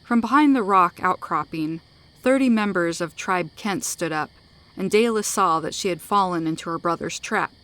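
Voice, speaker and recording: A woman's voice: on the low side, moderate pace, a bit bright. A woman narrating scripted sci-fi fiction in a dramatic storytelling style, close to the microphone.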